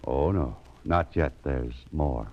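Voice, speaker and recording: Very deep-voiced wry radio detective. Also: male voice, slow pace, slight background noise, heavily acted. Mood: dryly confident. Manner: dry, wry, understated. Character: calm wry male private investigator. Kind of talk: 1940s radio acting. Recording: dull 1940s radio recording, music behind.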